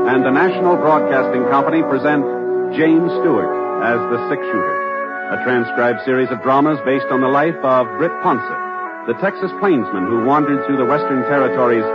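An American man announcing on 1950s radio in a formal, measured, proclamatory style. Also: mid-range voice. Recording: dull old radio recording, music behind.

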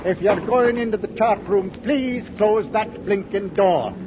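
A noisy, dull 1940s radio recording of an old merchant captain. He is stubborn and literal-minded, speaking tersely and pompously in a fairly high male voice. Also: a little slow. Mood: stubborn, commanding. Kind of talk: 1940s radio drama acting.

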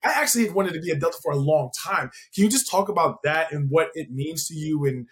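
A man's voice: on the high side, quick pace, fairly bright tone. An American man hosting an interview in a casual, conversational way, informal and encouraging toward the guest. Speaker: interview show host.

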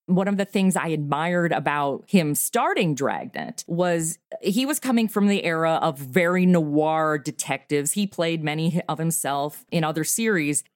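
Woman podcast guest chatting about her work, lowish voice, really dry close sound. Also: animated, measured pace, few pauses, no room sound. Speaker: podcast creator being interviewed. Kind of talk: casual interview talk.

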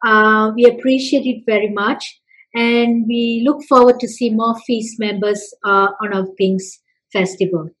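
A woman from Malaysia, answering interview questions with many pauses and restarts. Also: on the high side, steady tone, a bit bright, soft background music, poor audio. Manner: hesitant, many fillers and restarts. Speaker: festival representative guest.

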